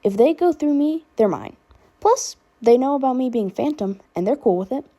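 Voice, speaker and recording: Sardonic, affectionate big-brother teen boy, female reader, casual rambling delivery, soft dark tone, slightly raspy. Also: high voice, expressive, normal speed, voice pushed up. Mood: reassuring, excited. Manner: casual, rambling teen talk with filler words. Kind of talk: fan fiction audiobook character dialogue.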